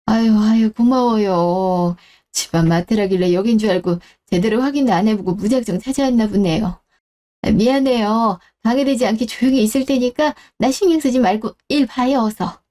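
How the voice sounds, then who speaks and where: A woman's voice: fairly high pitch, moderate pace, dark tone, natural voice. A woman playing an elderly, doting mother and grandmother in a radio drama, apologetic, sighing and rambling as she talks. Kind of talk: Korean radio-drama story acting.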